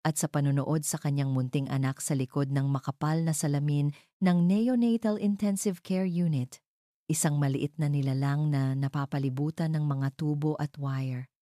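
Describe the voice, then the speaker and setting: A woman's voice: sits low, warm tone, no echo, studio quality. A woman narrator reading a scripted romantic drama in a storytelling style.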